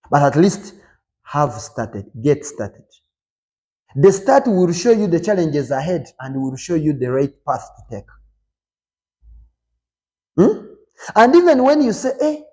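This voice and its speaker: Emphatic motivational man, mellow voice. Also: on the high side, rough recording. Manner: rhetorical questions with frequent "hmm?"; emphatic, rises sharply on key points. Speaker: show host. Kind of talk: motivational talk to viewers.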